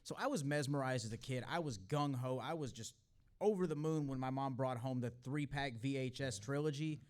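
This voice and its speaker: A man in his thirties from Tennessee, chatting casually on stage as a guest in a playful, expressive way. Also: medium pitch, a little fast. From Tennessee, United States. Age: 30s. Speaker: guest, actor and podcaster.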